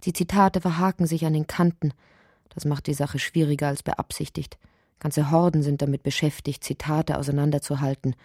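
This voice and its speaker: A woman playing a whimsical narrator in a literary radio play, with a husky voice. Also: low-pitched, steady tone, moderate pace, mellow, no room sound, clean studio sound. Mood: musing, measured.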